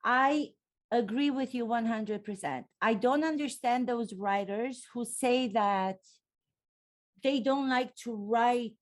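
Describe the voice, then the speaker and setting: Expressive Romanian-accented woman host. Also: a little high. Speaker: podcast host and acting coach. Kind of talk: interview conversation.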